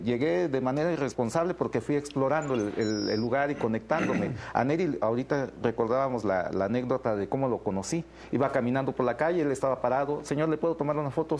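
A man's voice: medium pitch, darkish tone. A man with a Mexican accent speaking as a film director in an interview, explaining and telling anecdotes in a casual way. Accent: Mexican Spanish. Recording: faint background noise.

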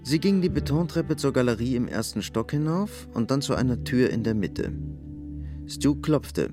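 A man narrating a literary audio drama in a dry, measured storytelling manner. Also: mid-range voice, animated. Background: music.

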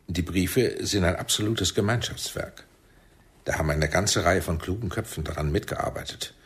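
An actor playing a shrewd, paternal intelligence chief in a German radio play, measured and persuasive, with a husky voice. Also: male voice, low-pitched, fairly bright tone, exaggerated voice. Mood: smooth, persuasive.

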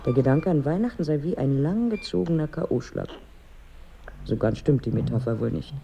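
An actress in a radio drama playing a wry, frustrated wife who needles and broods aloud. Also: female voice, deep voice, expressive, moderate pace, creaky, mellow, a little hiss or hum. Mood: ironic musing. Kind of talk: radio drama dialogue and monologue.

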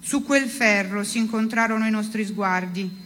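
A woman's voice: medium pitch, normal speed, a bit bright, slight background noise. A woman giving a dramatic literary reading of first-person prose. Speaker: reader of a literary text.

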